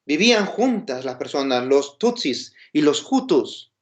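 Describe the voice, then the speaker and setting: A man's voice: fairly high pitch, very animated, a little slow. A man with a Peruvian Spanish accent giving an informal, explanatory lecture, posing questions and checking in with his listeners. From Peru. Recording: dull, lo-fi sound.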